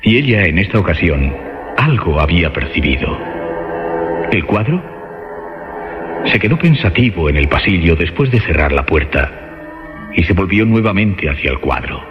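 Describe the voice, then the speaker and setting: A man with a very low voice narrates horror in suspenseful, measured phrases. His pitch moves expressively over background music, and the recording is rather muffled. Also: sing-song. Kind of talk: dramatic scripted horror narration. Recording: muffled lo-fi radio drama recording.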